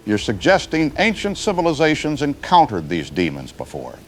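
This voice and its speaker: A man's voice: medium pitch, wildly swooping pitch. A man plays a serious anchor in an apocalyptic audio drama news show. His mid-range voice is formal and probing, with very varied intonation. There is faint ambient noise behind it. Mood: concerned, incredulous. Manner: measured, formal, concerned.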